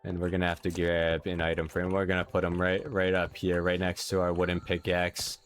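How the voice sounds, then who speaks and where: Young male streamer, New York accent, low voice, chatty and rambling, soft music underneath. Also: fairly quick. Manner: rambling, self-interrupting, playful, jumps up in pitch for excited questions. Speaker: Minecraft streamer. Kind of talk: casual live-stream chat, reading viewer comments.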